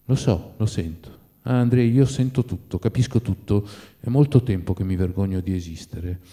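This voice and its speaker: A man's voice: lowish voice, normal speed, mellow, lightly acted. A man voicing a resigned, ashamed man withdrawn from the world, speaking in a subdued, tender, supplicating manner in a literary story.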